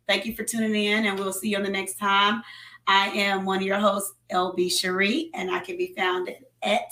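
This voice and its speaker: An American woman hosts a podcast in a casual, chatty style. Her pitch sits in the middle for a woman, and the recording has a strong roomy echo. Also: sharp tone. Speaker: podcast host. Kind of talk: casual chat. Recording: roomy, echoey sound.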